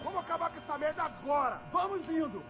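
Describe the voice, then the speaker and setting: An actor playing an agitated Brazilian armed henchman in a video game, shouting urgently in native Portuguese with a Brazilian accent. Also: extremely high pitch, expressive, rapid-fire. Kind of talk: cinematic game acting. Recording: music underneath.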